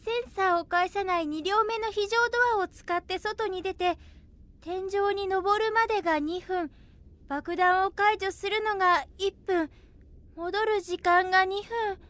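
A woman's voice: extremely high pitch, fairly even intonation, measured pace, fairly bright tone, no room sound. A woman playing a composed, serious, analytical girl in an anime audio drama. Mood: calculating.

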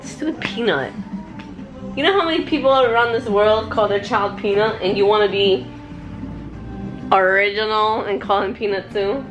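A young woman talks playfully into a handheld camera in a home living room, teasing and dropping in Spanish words. Her voice sits at an everyday middle pitch, with music playing underneath. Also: normal speed, long pauses, dull audio. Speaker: vlogger. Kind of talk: casual family chat to camera. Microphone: handheld camera close to face.

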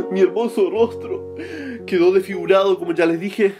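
A young Argentine man with a high-pitched voice tells a comic story to camera. He is theatrical and mock-dramatic, peppering it with crude slang, while faint music plays behind him. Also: steady tone, moderate pace. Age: young adult. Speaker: comedy vlogger. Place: small room, talking to camera.

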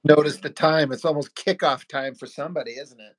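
A man's voice: on the high side, fairly quick, no echo. A man hosting a casual chat while drawing, teasing and acting out quotes. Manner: enthusiastic, teasing, acts out quotes. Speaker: artist host.

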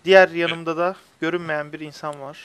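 A man's voice: on the high side, steady tone, brisk, a bit bright, clean tone, no room sound. A young man hosting a gaming video, giving casual let's-play commentary while chatting with friends. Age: young adult. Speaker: host of a gaming video channel. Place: indoors at a computer, webcam shown.

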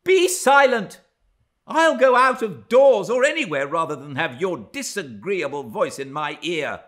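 A man's voice: high voice, very animated, unhurried, a bit bright, pitched above the performer's own voice, full character voice. A man voicing a proud, scornful young lady in an audiobook, with a biting, indignant manner. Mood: sharp contempt. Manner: haughty, disgusted, biting. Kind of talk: dramatised audiobook reading of a classic novel.

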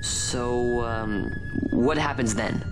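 A man's voice: medium pitch, huge pitch swings, very slow, over music, full character voice, low-quality recording. An actor playing a blunt, hot-headed, grieving teenage boy in a video game. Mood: puzzled. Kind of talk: video game cutscene acting.

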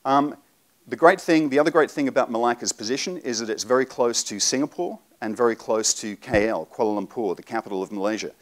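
A man in his forties giving a live seminar talk to an audience in a conference room, relaxed and explanatory with frequent ums. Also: mid-range voice, fast talker. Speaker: presenter.

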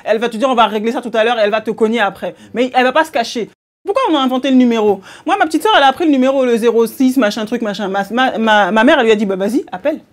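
A woman in her thirties arguing in an animated casual debate, emphatic and insistent, repeating herself to hold the floor. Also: a little high, rapid-fire. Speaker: paediatric worker among barbershop customers. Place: busy barbershop, indoors.

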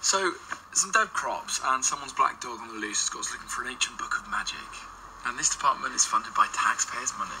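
An actor playing an incredulous, exasperated male podcaster in a radio drama, halting and sceptical. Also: mid-range voice, noisy background, lightly acted. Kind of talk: naturalistic radio drama acting.

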